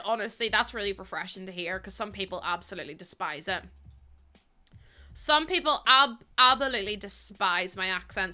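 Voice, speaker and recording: A woman livestreaming, chatting casually with her viewers and laughing often. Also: medium pitch. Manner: chatty, laughs often, greets chat warmly. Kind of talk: casual chat with stream viewers.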